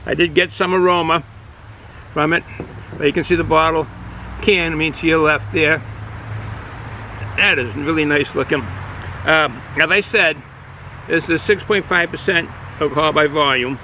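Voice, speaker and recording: A man's voice: a little high, steady tone, sharp tone, a little hiss or hum, low-quality recording. Boston man rambling through a beer review. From Boston area, United States. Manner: rambling, halting, many fillers and restarts. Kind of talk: casual unscripted review. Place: outdoors, sun in his eyes.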